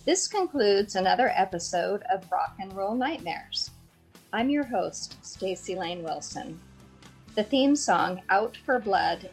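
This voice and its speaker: A woman's voice: mid-range voice, poor audio. A woman hosting a podcast, handling interview questions and show announcements. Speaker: podcast host.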